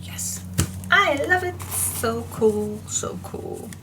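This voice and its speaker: Slow, slightly hoarse crafting woman. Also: a little high, background noise. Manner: chatty, slow and relaxed, talks to herself while she works. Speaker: papercraft tutorial host. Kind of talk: casual crafting tutorial talk.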